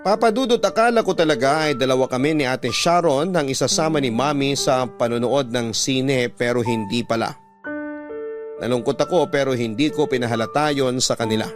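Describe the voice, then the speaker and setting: A man reading as a wistful, hurt young university student in a sentimental, measured radio love-story narration. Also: mid-range voice, close to an everyday voice. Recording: music bed behind the voice.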